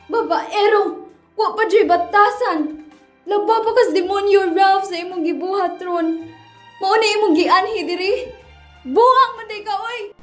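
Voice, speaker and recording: A woman's voice: extremely high pitch, measured pace. A woman playing a hurt, angry young girlfriend who accuses her partner in a radio drama. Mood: furious. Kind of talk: amateur radio drama acting. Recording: echoey room with music underneath.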